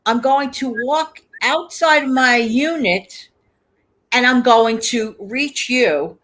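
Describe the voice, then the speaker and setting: A woman's voice: on the high side, takes it slowly, a bit bright, poor audio. A woman hosting a livestream in casual chat, repeating words for emphasis. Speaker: host of a livestream.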